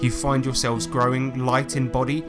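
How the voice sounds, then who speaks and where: Measured male game master over music. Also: mid-range voice, flat delivery. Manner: measured, descriptive. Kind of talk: dramatic scripted tabletop game narration.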